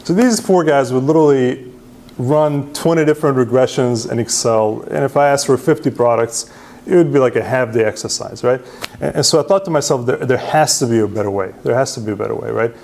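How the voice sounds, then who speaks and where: A man presents to a meetup crowd. He is self-deprecating and casual, often tags his points with a quick "right?" and restarts his sentences as he goes. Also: medium pitch, gravelly, a little mellow. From Serbia. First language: Hungarian. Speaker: presenter at user group meetup. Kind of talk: conference talk with slides.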